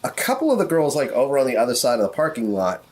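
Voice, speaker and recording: Animated man running a tabletop game. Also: mid-range voice, fast talker. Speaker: game master. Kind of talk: improvised narration of a tabletop game.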